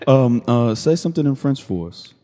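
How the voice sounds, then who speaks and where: A man hosting a casual interview podcast, laid-back and quick to answer with "okay" and "no doubt". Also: mid-range voice, expressive, warm tone, long reverb tail. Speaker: podcast host. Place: room in a shared studio space.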